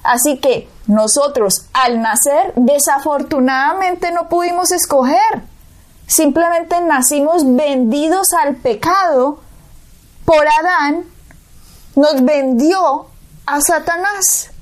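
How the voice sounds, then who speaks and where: A Colombian woman with a high, bright-pitched voice leads a Bible teaching conversation. She preaches emphatically, pressing her point with rhetorical questions aimed at the listener. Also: takes it slowly. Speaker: co-host. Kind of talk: Bible teaching talk show.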